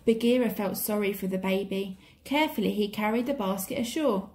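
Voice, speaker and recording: A woman's voice: medium pitch, fairly quick, a little mellow. A woman reading a children's story expressively, like a teacher to pupils.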